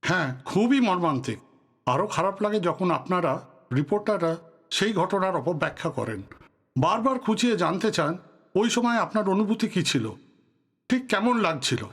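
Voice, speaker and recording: Radio play politician, male actor: high male voice, courteous but guarded, authoritative, a touch of reproach. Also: expressive, moderate pace, a bit bright, full character voice. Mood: pointed, solemn. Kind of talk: Bengali audio drama acting.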